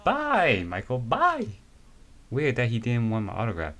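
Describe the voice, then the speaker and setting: A man's voice: medium pitch, wildly swooping pitch, a little slow, a bit bright, full character voice. A man voicing a vain, showy male celebrity fighter in an amateur comic reading, sounding breezy and self-satisfied.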